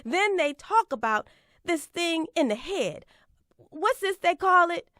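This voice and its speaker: A woman's voice: extremely high pitch, sing-song, unhurried, pushed and bright, no room sound, clean studio sound, exaggerated voice. A woman performing a speech as a fierce, plainspoken aging woman orator, speaking in folksy rhetorical questions that rise to emphatic refrains. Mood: defiant. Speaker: reader performing a historical speech. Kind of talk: dramatic recitation of an 1851 speech.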